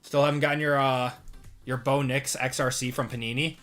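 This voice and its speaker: Bright-voiced Midwestern man running card breaks. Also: mid-range voice, brisk, soft background music. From Wisconsin, US. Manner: relaxed, chatty, reads out cards and answers chat. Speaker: sports card break host. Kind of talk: live-stream chat while opening cards.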